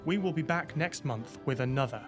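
A man's voice: medium pitch, animated, fairly quick. Male podcast presenter over guitar music. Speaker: podcast presenter reading credits and sign-off. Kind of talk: scripted podcast announcement. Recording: loud guitar music behind the voice.

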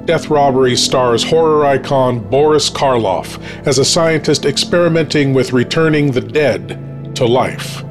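Slow, deep-leaning man narrating a countdown. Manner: measured, deliberate announcing. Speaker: podcast host. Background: music.

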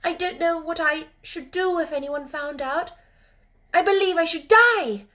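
A woman's voice: high-pitched, steady tone, moderate pace, fairly bright tone, pitched above the performer's own voice. A woman voicing a shy, eager young girl in a storybook, speaking in an earnest, questioning way. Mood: anxious, intense.

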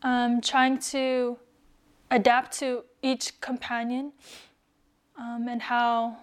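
A young woman from the United States, soft-spoken and hesitant, telling of her missionary years in a casual interview. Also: fairly high pitch, monotone, very slow, clear, resonant tone. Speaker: returned missionary guest.

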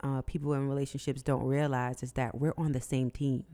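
A deep-voiced woman on a podcast, giving relationship advice in a casual, explanatory, conversational way. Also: flat delivery, brisk, mellow.

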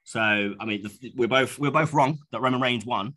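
A man's voice: mid-range voice, moderate pace, sharp tone, breathy edge. A man co-hosting a casual podcast, stuffed up with a cold, rambling with many restarts. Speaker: podcast co-host.

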